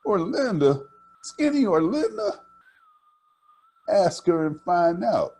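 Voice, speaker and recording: A man voicing an eager, determined young country girl from a storybook, with excited, folksy phrasing. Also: high-pitched, slow pace, husky, pitched above the performer's own voice, heavily acted. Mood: scornful, surprised.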